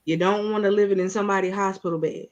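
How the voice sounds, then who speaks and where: A woman's voice: medium pitch, steady tone, fast talker, lo-fi sound. Preachy woman radio host. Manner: preachy, repeats phrases, checks in with listeners. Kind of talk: faith-based motivational talk.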